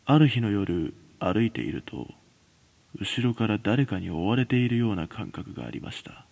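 A man narrating a scripted horror story as a podcast narrator, in a measured, dramatic way with pauses. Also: fairly low pitch, animated, creaky, a little mellow.

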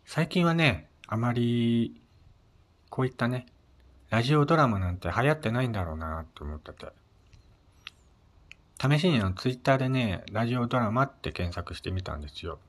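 A man hosting a casual solo radio chat, speaking politely and a little hesitantly, with soft fillers between his phrases. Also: mid-range voice, animated, normal speed, long pauses. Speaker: solo podcast host.

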